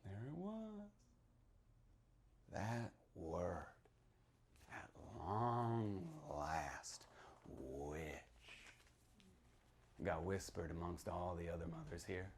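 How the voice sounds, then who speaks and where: A man playing a folksy American small-town storyteller, telling a dark witch legend in a theatrical, conspiratorial way with questioning tags. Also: sits low, very animated, extremely slow, long pauses, exaggerated voice. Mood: hushed, ominous. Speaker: author reading from a novel. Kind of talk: theatrical in-character reading of horror fiction.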